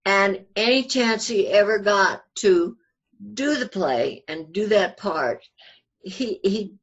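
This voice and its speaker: A woman's voice: mid-range voice, animated, slow pace, breathy edge, highs cut off. An older woman talking casually and fondly as an interview guest, sharing memories. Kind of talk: fond reminiscing in a casual interview.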